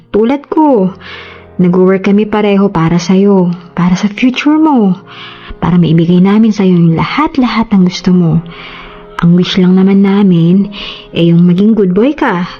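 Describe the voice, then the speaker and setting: A woman's voice: on the low side, normal speed, long pauses, faint music behind, close to an everyday voice. A woman playing a tender, playful young mother in a radio drama, affectionate and coaxing, slipping in English phrases. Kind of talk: Tagalog radio drama acting. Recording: slightly dull audio.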